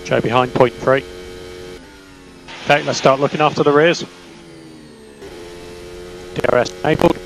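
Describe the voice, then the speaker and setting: A man working as a race engineer, giving calm, measured, clipped instructions over team radio. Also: mid-range voice, gravelly. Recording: noisy radio link with car hum. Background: car engine hum.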